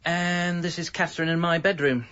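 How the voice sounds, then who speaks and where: A man's voice: a little high, fairly even intonation, moderate pace, dull audio, noisy background, close to an everyday voice. An actor in his thirties with an English accent playing a wry, self-pitying separated father in a radio comedy drama. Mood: exasperated, bitter. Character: separated young father, wry, lonely and exasperated. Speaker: lead character and narrator. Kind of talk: radio comedy drama, wry first-person narration and dialogue.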